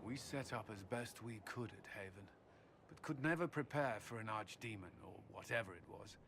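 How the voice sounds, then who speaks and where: A man's voice: on the low side, expressive, normal speed, a bit bright, a little hiss or hum. A man playing an earnest, vigilant military commander in a game, speaking in a firm, clipped way. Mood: grave, determined. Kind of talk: dramatic game cutscene acting.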